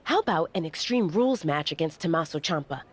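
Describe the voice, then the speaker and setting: A woman playing a brisk wrestling manager in a video game, speaking in a matter-of-fact, persuasive way. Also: sits low, expressive, husky. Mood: businesslike, encouraging. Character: brisk female wrestling manager setting up matches.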